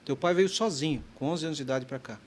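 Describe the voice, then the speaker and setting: A man's voice: on the high side, quick pace. A man from Brazil hosting an interview, chiming in with short affirmations and summing up what the guest says. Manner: brief affirmations, sums up the guest's points. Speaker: interview host. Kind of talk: interview conversation. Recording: faint background noise.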